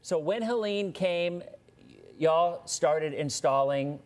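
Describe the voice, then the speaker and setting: Chatty higher-voiced man hosting live TV. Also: slow, a little mellow. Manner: chatty, loose, greets viewers by name. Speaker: weather show host. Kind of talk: casual live TV interview chat.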